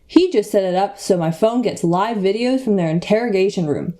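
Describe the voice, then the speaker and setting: A woman's voice: medium pitch, animated, fairly quick, warm tone, close to an everyday voice. A woman playing a laid-back, slangy crime reporter who is easily impressed. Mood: excited, laid-back.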